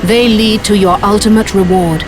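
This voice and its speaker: An actress playing a calm, stately goddess in a video game cutscene, with a soft, measured voice. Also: female voice, medium pitch, natural voice. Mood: commanding. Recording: game cutscene under music.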